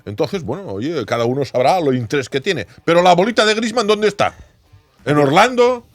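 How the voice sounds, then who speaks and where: A man's voice: fairly high pitch, animated, a little fast, no room sound, soft background music. A man on a sports panel, debating in a mocking way with pointed rhetorical questions.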